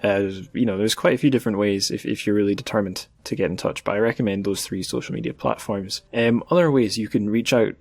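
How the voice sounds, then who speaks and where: Man, podcast host, slightly low voice, informal delivery, wry humor, dry sound. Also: steady tone, quick pace, creaky, hardly any pauses, dry recording. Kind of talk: casual podcast outro and chat.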